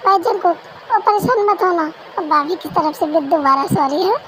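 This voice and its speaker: A woman's voice: extremely high pitch, moderate pace, fairly bright tone, slight background noise. A woman voicing a cheeky young brother-in-law who teases and plays mock-offended, in an amateur fan dub. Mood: friendly.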